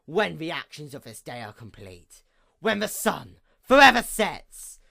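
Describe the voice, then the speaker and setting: A woman voicing a proud, wounded pony goddess of night in a theatrical villain monologue, menacing and grandiose with a gravelly creak. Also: lowish voice, fairly bright tone, full character voice. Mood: vengeful menace. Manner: theatrical, menacing, grandiose, with slow drawn-out emphasis. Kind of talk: dramatic villain monologue, fan fiction reading.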